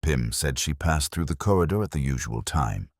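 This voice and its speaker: A man narrating a scripted first-person detective story in a measured, brooding, literary manner. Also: rumbling low, lively intonation, no room sound, studio quality.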